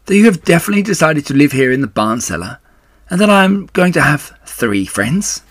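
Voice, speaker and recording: A gentle, sentimental storybook pig, acted by a man, lifted above a normal talking pitch. He speaks earnestly and politely, like someone making a small formal speech, with a husky grain. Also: sing-song, brisk, dry recording. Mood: joyful, hopeful. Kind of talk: children's storybook read-aloud.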